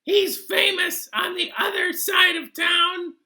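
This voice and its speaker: A goofy male passenger who mangles his words, squeaky and bright, stretching each word and hollering it as a storyteller puts him on. The voice sits far above a normal speaking voice. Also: fairly even intonation, full character voice. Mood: goofy, insistent. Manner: squeaky, drawn-out, shouting.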